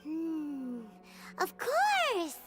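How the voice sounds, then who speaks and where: A woman voicing a tiny, childlike fairy girl in an anime game, squeaky, excitable and naive. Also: extremely slow, music in the background, heavily acted. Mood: flustered. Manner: refers to self in third person, exclamatory.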